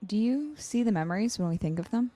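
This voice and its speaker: A woman's voice: medium pitch, expressive, fast talker, warm tone, no room sound, lightly acted. A young woman playing a cerebral, slightly awkward student in an audio drama, analytical in the way she talks. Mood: curious, analytical. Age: young adult.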